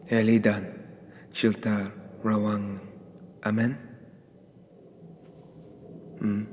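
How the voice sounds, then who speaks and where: A man performs a haughty, sadistic male dark elf for an ASMR roleplay. The delivery is slow and breathy, often dropping into a whisper, with an even, controlled pitch and soft menace. Clear background noise sits behind the voice. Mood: taunting.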